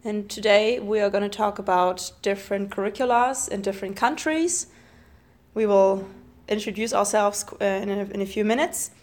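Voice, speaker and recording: A woman's voice: mid-range voice, moderate pace, a little mellow. Young woman hosting a teacher podcast, chatty, asks lots of questions. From Germany. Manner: friendly moderator asking questions. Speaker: podcast host, primary teacher. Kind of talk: casual discussion.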